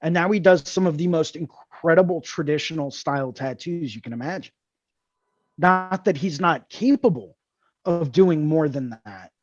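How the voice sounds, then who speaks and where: A man's voice: on the high side, no echo, lo-fi sound. A man hosting a tattoo drawing group over a video call, explaining ideas earnestly and repeating key words. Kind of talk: casual mentoring chat on a video call.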